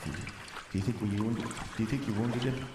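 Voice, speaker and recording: A man's voice: fairly low pitch, steady tone, normal speed, heavy reverb, background noise, lightly acted. An actor playing a frightened man desperate to flee in a radio drama, stammering and repeating himself nervously. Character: jittery, frightened man who wants to flee. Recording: loud music bed under speech.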